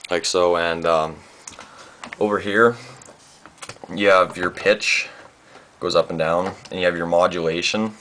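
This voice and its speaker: A young man with a very low voice talks through a casual home demo. He is laid-back and halting, leaning on filler words. The amateur camera mic picks up plenty of noise around him in the small room. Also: steady tone, a bit bright. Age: young adult. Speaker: amateur musician demonstrating gear. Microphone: handheld camera's built-in microphone. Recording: noisy amateur camera microphone.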